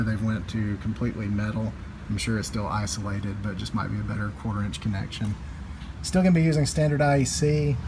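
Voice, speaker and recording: Male gear reviewer, lowish voice, informal and explanatory product demo delivery, clear background noise. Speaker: audio gear reviewer and dealer. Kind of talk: casual product demonstration.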